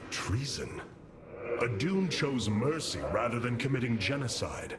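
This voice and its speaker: An actor playing a noble, resolute alien warrior leader in a video game, speaking formally and with command. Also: male voice, mid-range voice, animated, unhurried, noisy background, lightly acted. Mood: earnest, firm. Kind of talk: dramatic video game cinematic acting.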